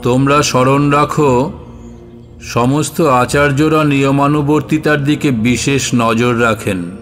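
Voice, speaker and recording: An actor playing a strict, authoritative head of a monastery in an audio drama, formal and commanding. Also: male voice, mid-range voice, fairly even intonation, slow, fairly bright tone, soft background music. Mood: stern.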